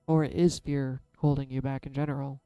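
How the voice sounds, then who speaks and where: Man, tarot reader, soft-spoken, smooth dark tone, candid vlog delivery, faint music underneath. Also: mid-range voice, level tone, moderate pace, no echo. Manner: candid, self-deprecating, musing aloud. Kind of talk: casual reflective vlog.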